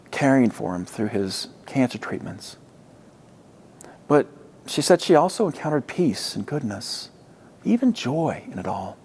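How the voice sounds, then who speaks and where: Gravelly-voiced male pastor preaching. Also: mid-range voice, darkish tone. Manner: measured, deliberate phrasing with emphatic pauses. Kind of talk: sermon with read quotations and reflective pauses.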